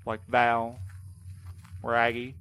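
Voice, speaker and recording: An actor playing a wry, jumpy young courier in a naturalistic audio drama, with a sarcastic delivery. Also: male voice, mid-range voice, monotone, takes it slowly, bright, forceful tone, clear, resonant tone. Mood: bitter, accusing. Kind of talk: naturalistic full-cast audio drama acting.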